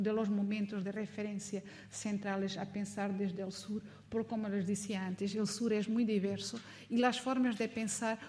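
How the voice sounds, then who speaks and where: A woman's voice: medium pitch, a little mellow. Mozambican woman lecturing in non-native Spanish. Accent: Mozambican Portuguese. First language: not Spanish, learned it as an adult; also speaks Portuguese. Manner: reflective, explanatory, non-native phrasing. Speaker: academic speaker. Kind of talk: informal academic lecture.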